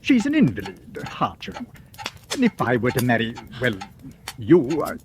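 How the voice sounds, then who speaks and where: An actor playing a stuffy, evasive young English lord who stammers, in 1940s radio acting. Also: male voice, medium pitch, wildly swooping pitch, moderate pace. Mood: awkward. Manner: stammering, hesitant. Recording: hissy old radio broadcast.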